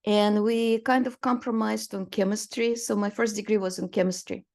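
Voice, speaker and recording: A woman in her sixties, originally from Romania, speaks as an interview guest. She talks in a storytelling way, full of small fillers and brief laughs. Her pitch sits in a middle range for a woman. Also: steady tone, normal speed, vocal fry, a little mellow. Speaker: guest artist. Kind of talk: conversational interview.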